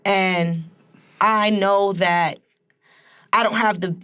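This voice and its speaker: A young woman with a raspy voice, a musician talking candidly in a casual, slangy interview. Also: mid-range voice, fairly even intonation, extremely slow, a bit bright. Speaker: guest musician and rapper.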